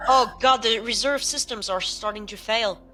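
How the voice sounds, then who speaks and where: A woman as an alarmed, bright-voiced station crew member. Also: a little high, normal speed, soft background music. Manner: exclamatory, blunt. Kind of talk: improvised sci-fi role-play.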